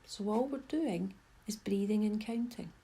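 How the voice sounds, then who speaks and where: Soft, husky woman guiding a meditation. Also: mid-range voice. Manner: gentle, unhurried instruction with pauses. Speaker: mindfulness guide. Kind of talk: guided meditation.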